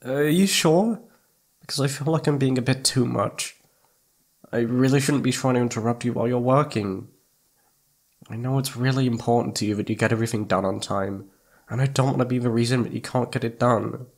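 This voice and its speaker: Guy doing a needy sweet boyfriend asmr thing, soft pleading voice, normal guy pitch, kinda hesitant. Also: brisk, close to an everyday voice. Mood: apologetic, tender. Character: needy, affectionate young boyfriend wanting attention. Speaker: audio roleplay voice actor. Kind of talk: intimate ASMR boyfriend roleplay.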